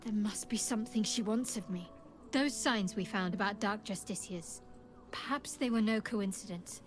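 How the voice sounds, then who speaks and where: An actress playing a young, devout and guarded female cleric in a video game, with a measured, hesitant delivery. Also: mid-range voice, faint music behind, lightly acted. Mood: earnest. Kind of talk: video game dramatic acting.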